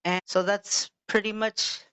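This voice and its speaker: A woman's voice: medium pitch, little pitch movement, unhurried, a bit bright, clear, resonant tone. A woman in her fifties from Los Angeles, co-hosting a podcast in a laid-back, chatty way and swearing casually. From Los Angeles, California. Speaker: podcast co-host. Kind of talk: casual chat. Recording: dull lo-fi sound.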